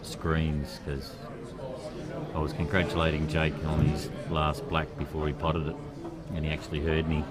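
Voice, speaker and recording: A man with an Australian accent commentating a pool match in a relaxed, chatty way, with a quiet voice and many fillers. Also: extremely low pitch, moderate pace, a loud crowd.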